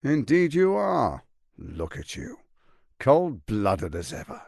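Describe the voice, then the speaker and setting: An actor playing a scheming, menacing fantasy tyrant in a video game, silky, grandiose and condescending, speaking with theatrical deliberation. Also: male voice, mid-range voice, wildly swooping pitch, slow, gravelly, darkish tone, no echo, studio quality, heavily acted. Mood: cool, appraising. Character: scheming, smooth, menacing male tyrant lord and political mastermind.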